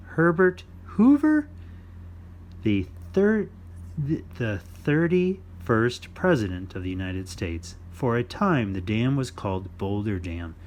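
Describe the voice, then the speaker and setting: A man's voice: fairly low pitch, darkish tone, a little hiss or hum. A man hosting a children's story time, reading a non-fiction book aloud in an instructive, playful way for young listeners.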